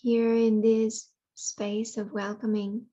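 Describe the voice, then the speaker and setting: A woman guiding a yoga nidra meditation in a soothing way, leaving long pauses between phrases. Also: a little high, flat delivery, mellow, lo-fi sound. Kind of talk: guided meditation.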